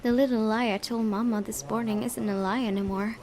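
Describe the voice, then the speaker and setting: Woman reading a game aloud, expressive. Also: a little high, a little fast. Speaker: let's-play host. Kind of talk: reading a visual novel aloud with casual reactions.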